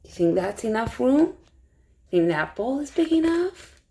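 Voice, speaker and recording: A woman storytime teacher reading a rhyming picture book aloud to young children in an expressive way. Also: a little high, measured pace. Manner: expressive, hushed suspense, asks listeners questions.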